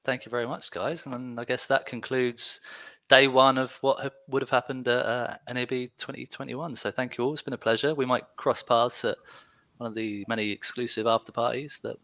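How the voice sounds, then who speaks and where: A man moderating an online panel, brisk with light joking asides. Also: medium pitch, little pitch movement, fairly bright tone, dry recording. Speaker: host and moderator.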